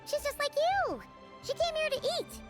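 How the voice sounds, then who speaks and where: Casting: tiny childlike fairy sidekick for a game, very high squeaky voice, cheeky, blunt, lively swings, music underneath. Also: female voice, moderate pace. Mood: cheeky, scolding. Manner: blunt, refers to self in third person. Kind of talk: video game character acting. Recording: music playing behind.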